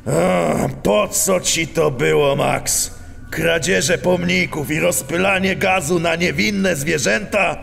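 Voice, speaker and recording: An actor playing a stern, weary animal police chief in a children's audio drama, speaking in a grudging, terse way. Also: male voice, on the high side, takes it slowly, vocal fry, a bit bright, full character voice. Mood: weary, reproachful. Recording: long cavernous reverb, music behind.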